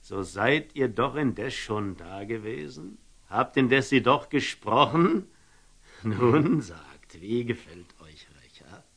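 An actor playing a wise, cautious fatherly merchant in a classical German radio drama, speaking gently and deliberately in standard German. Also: medium pitch, lively intonation, gravelly, breathy edge, full character voice. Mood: gentle, guarded.

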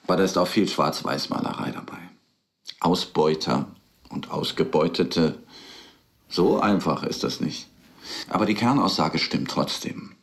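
An actor playing a serious, thoughtful man who explains things in a measured, reflective way in a radio drama. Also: deep voice, creaky. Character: thoughtful, serious man recounting experiences of hardship abroad.

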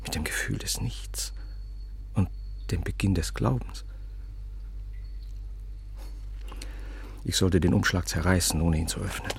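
An actor playing a brooding, bitter newspaper editor in a radio play, speaking in halting, hushed phrases with sudden emphasis. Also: male voice, sits low, creaky, a little hiss or hum. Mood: anguished brooding. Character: brooding, bitter middle-aged male newspaper editor, tormented and curt. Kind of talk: literary German radio play, interior monologue.

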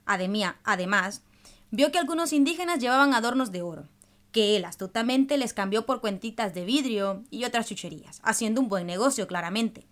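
A woman's voice: a little high, animated, fairly quick, fairly bright tone. A woman hosting a radio programme, explaining things in a didactic, scripted way. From El Salvador. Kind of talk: scripted radio presentation in dialogue.

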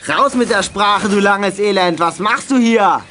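A man's voice: sits high, a little slow, pushed and bright, a little hiss or hum. A young man playing a brash, mocking, bossy teenage bully in a German children's radio play. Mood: mocking, threatening.

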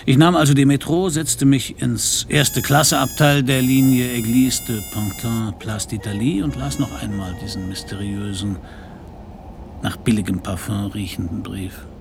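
Actor as gravelly, wry Paris private eye. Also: male voice, mid-range voice, fairly even intonation, normal speed, over music, close to an everyday voice. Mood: wry, musing. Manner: dry, laconic, sardonic. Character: hard-boiled, wry, stubborn male Paris private detective. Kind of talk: first-person radio crime drama narration and dialogue.

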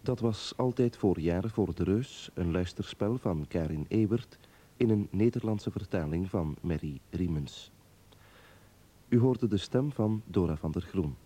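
A man's voice: deep voice, lively intonation, unhurried, warm tone, husky. A man reading a formal, scripted announcement as a radio announcer.

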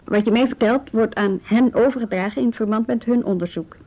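High-voiced, mellow policewoman played by an actress. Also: muffled recording, slight background noise, lightly acted. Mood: measured, probing. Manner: measured, businesslike questioning. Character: calm, probing policewoman of the juvenile bureau. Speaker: police officer questioning a youth. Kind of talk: radio drama acting.

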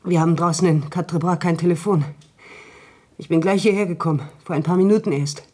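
Husky, subdued shaken country woman. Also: fairly low pitch, fairly even intonation, fairly quick, warm tone. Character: shaken, subdued country woman reporting her sister's death. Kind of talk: German radio play acting.

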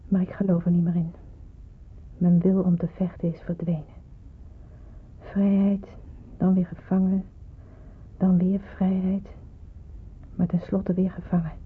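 An actress playing a despairing, brooding young nurse who is weary of hiding, in radio drama style. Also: female voice, fairly low pitch. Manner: brooding, hesitant. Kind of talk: radio drama acting with inner monologue. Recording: muffled phone-like old radio recording, clear hiss.

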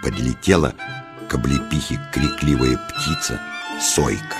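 A man narrating a scripted children's tale in an expressive, deliberate storyteller's delivery. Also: rumbling low, gravelly, heavily acted. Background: music.

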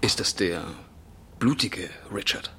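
An actor playing a politely stubborn police sergeant and proud crime novelist in a 1970s German radio crime drama, formal and a little self-important. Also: male voice, low-pitched, takes it slowly, sharp tone, slight background noise, heavily acted. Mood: deferential, intrigued.

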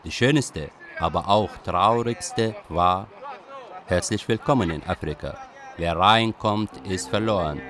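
A man's voice: low-pitched, measured pace, a bit bright. A man playing a young Iraqi refugee who tells his life story in a literary radio play. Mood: reflective. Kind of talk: scripted first-person radio play narration.

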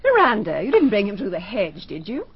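An actress playing a protective middle-aged mother who speaks briskly and wryly, with worry underneath. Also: medium pitch, wildly swooping pitch. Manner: brisk, wry, worried. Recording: dull lo-fi archive radio.

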